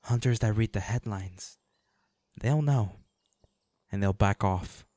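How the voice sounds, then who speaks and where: A man's voice: lowish voice, slow pace, mellow, no echo. A man playing a protective, devoted hunter boyfriend in a dramatic roleplay audio, speaking earnestly and intensely. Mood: curt, subdued.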